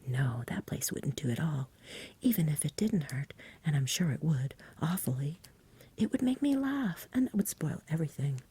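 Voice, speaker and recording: A woman voicing a nervous, fussy, stubborn but genial dragon in a breathy whisper, with polite, old-fashioned, wordy speech. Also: sits low, lively intonation, normal speed, mellow, heavily acted. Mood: coy.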